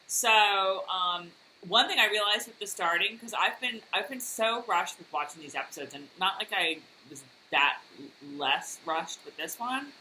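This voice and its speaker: A woman's voice: medium pitch, moderate pace, pushed and bright, a little hiss or hum, rough recording. A woman co-hosting a casual recap chat, talking loosely with lots of fillers and frequent laughter. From United States. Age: adult, worked in high school and college years ago. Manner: chatty, laughs often, many fillers. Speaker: podcast co-host.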